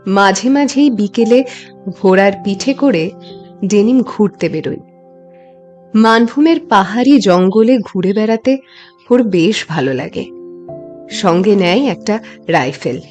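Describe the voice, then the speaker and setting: Slow-paced woman narrating a story. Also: mid-range voice, soft background music. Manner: measured, expressive, with deliberate pauses. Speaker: narrator and host of a story channel. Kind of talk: scripted dramatic audio story narration.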